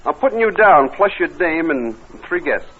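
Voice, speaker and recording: An actor playing a tough, menacing gangster who narrates in slangy, hard-boiled 1940s radio noir style. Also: male voice, on the high side, sing-song, normal speed, narrow, tinny audio. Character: tough, menacing male gangster narrating his crime story. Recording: band-limited old radio recording with music.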